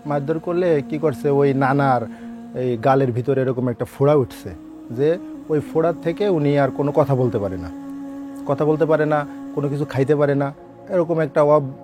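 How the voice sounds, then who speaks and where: A man with a Bangladeshi accent telling a ghost story informally, in a halting, colloquial, repetitive way. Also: on the high side. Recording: voice over prominent background music. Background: music.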